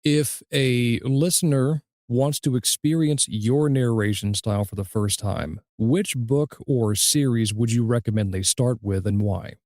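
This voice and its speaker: A man's voice: medium pitch, a little slow, hardly any pauses, a little mellow, no room sound, studio quality. A man hosting a casual interview, chatting and putting questions to his guest. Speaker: interview host.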